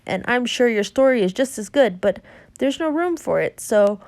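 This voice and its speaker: A woman's voice: on the high side, expressive, moderate pace, darkish tone, no room sound, pitched above the performer's own voice. Cocky young storybook hero, as a woman reading aloud puts him on, bossy and exasperated, close and dry. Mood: brash. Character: brash cocky young male storyteller Jack.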